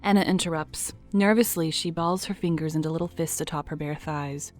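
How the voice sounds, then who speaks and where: A woman narrating an audio drama in a soft, storybook manner. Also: low voice, brisk, faint music behind.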